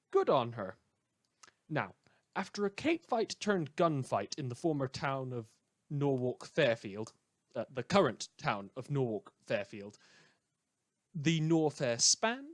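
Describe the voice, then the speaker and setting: A man playing a chatty, blithely amoral small-town radio host who rambles and corrects himself through a satirical news broadcast. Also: a little high. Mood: breezy, chatty. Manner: rambling, cheerfully flippant, self-correcting.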